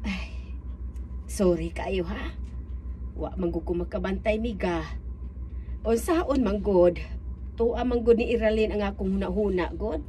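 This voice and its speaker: Actress as an anxious, slow-talking village mother. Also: mid-range voice, dark tone, slight background noise, close to an everyday voice. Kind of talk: radio drama acting.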